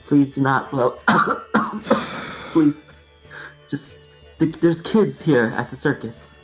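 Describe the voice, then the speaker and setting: A man puts on a prim snake dancer from a circus tabletop game who keeps her pleas polite while she grows flustered. The voice sits somewhat higher than usual, and faint music plays behind. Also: lively intonation, slow pace.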